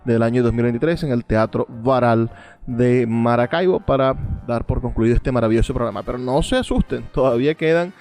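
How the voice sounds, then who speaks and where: A man's voice: medium pitch, brisk, a little mellow. A man presenting a radio show in a formal, eloquent manner. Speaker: radio host. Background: music.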